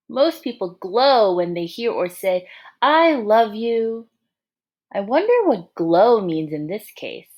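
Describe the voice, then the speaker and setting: Lady teacher reading a kids book out loud, really lively up and down voice, encouraging, asks the little ones questions. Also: medium pitch. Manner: expressive, encouraging, addresses young listeners directly. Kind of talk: read-aloud for young children with questions and asides.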